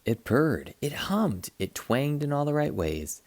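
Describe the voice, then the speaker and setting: A man reading a children's picture book aloud like a teacher, in an expressive, playful way. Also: a little high, measured pace, no room sound. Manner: expressive, playful, acts out sound effects.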